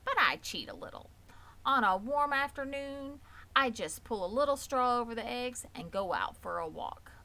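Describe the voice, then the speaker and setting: A woman's voice: on the high side, expressive, moderate pace, bright, forceful tone, voice pushed up. A woman voicing a fussy, chatty mother goose who repeats parts of her words, in a children's storybook reading. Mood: chatty, confiding. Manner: repeats syllables of words, matter-of-fact.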